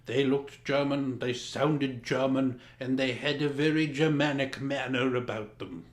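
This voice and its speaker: A man playing a pompous Georgian lord, reading a children's storybook with dramatic, deliberate diction. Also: mid-range voice, fairly even intonation, close to an everyday voice. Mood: stately. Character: pompous Georgian English lord reading a mad royal tale. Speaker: storyteller, host in the guise of a lord. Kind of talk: theatrical children's storybook narration. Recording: roomy echo, music underneath.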